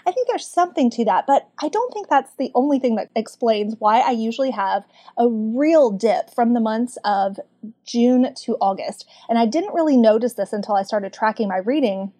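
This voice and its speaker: Southern US woman, podcast guest, chatty and laughing, slightly high voice. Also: animated, moderate pace, mellow. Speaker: podcast guest, book club community manager. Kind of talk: casual chat.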